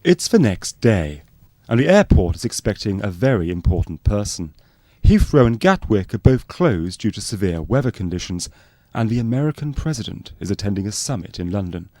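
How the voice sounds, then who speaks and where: A man's voice: fairly low pitch, sing-song, normal speed, a little mellow, no echo. A man reading scripted narration for a spoof fly-on-the-wall documentary.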